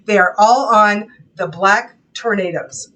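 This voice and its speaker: A woman co-hosting a live sewing shop stream, easygoing and quick to laugh. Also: medium pitch, sharp tone, recorded from a distance, poor audio. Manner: easygoing, laughs often, self-deprecating. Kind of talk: casual live-stream chat.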